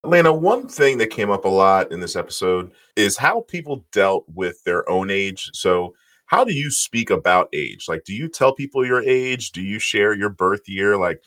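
A man's voice: lowish voice, sing-song, moderate pace, a bit bright. A man co-hosting a podcast, casually putting several questions in a row to a guest. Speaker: podcast co-host. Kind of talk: conversational interview questions.

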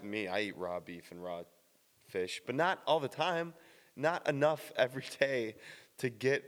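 Guy explaining gym stuff, bit raspy from the morning, cusses casually, some long reverb on it. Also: lowish voice. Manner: blunt, casual, swears, many restarts. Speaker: fitness vlogger.

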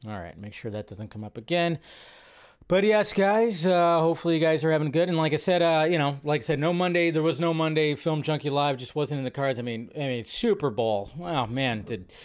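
A man's voice: fairly high pitch, brisk. A man hosting a livestream in a casual, rambling, informal way, chatting to viewers and repeating his phrases. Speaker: livestream host. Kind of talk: casual livestream chat, greeting viewers and reading comments.

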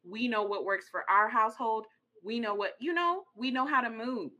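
Casting: woman from Congo, podcast co-host, forceful bright tone, animated colloquial chat. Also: a little high, moderate pace, highs cut off. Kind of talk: casual relationship discussion.

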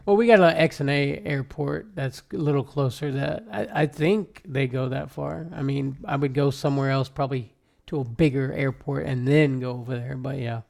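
A man in his thirties, a guest on a sports podcast, chatting in a relaxed way and laughing easily. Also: medium pitch, moderate pace, gravelly. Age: 30s. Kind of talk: casual sports chat.

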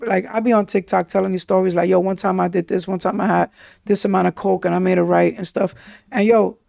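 A man recounts his past in candid street slang. His voice sits fairly high and carries a heavy gravelly fry. The sound is close and dry. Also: darkish tone, no echo. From New York City. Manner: street slang, frequent "yo" and "you understand?". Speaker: podcast host. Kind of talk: candid personal storytelling.

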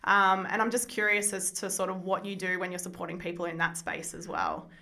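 A woman hosting a podcast interview, asking long, rambling questions in a casual way. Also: lowish voice. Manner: quick, bright, rambling questions with fillers. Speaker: podcast host.

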